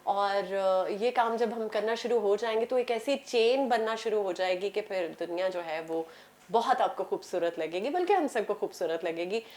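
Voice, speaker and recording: A woman with a Pakistani accent talking casually as a guest, expressive and mixing in English phrases. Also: medium pitch, moderate pace. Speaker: talk show guest, radio presenter and voice artist. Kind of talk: casual conversational talk.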